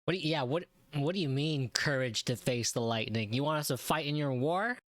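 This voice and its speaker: A man's voice: mid-range voice, fairly quick, dry recording. A man giving casual, slangy and exclamatory reaction commentary while playing a video game. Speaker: gamer reacting to cutscenes. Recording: game music behind the voice.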